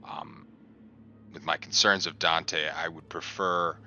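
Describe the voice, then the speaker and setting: A man with an extremely deep voice and a hard, forceful edge plays a tabletop roleplaying game. He talks in a halting way over background noise. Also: steady tone, lo-fi sound. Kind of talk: in-character game table chat. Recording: clear background noise and ambience.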